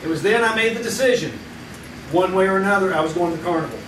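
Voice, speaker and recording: A grown man, played by an actor tells an old childhood story in a folksy, easy way. His voice sits fairly high. He sounds distant in a roomy hall with steady audience noise. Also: quick pace, close to an everyday voice. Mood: nostalgic. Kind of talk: live radio play on stage. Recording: echoey stage recording from far off, with audience noise.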